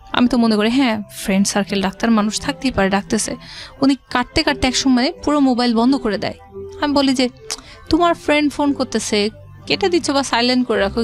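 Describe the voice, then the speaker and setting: A middle-aged Bangladeshi woman tells a personal story as an interview guest. Her voice is on the high side, and she talks conversationally with English words mixed in. Faint music plays behind her. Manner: conversational, quotes past dialogue, mixes in English words. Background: background music.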